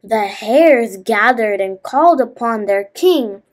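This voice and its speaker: A high-voiced woman tells a children's bedtime fable. She reads slowly and a little haltingly, stepping out of the text to chat to young listeners. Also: lively intonation. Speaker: storyteller and host of a children's story channel.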